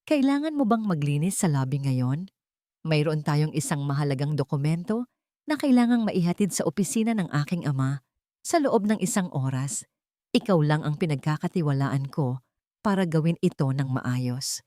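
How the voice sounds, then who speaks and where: A woman's voice: deep voice, animated, moderate pace, dark tone, no echo, clean studio sound. A woman voicing a cold, commanding young male CEO who gives orders in a curt, businesslike way.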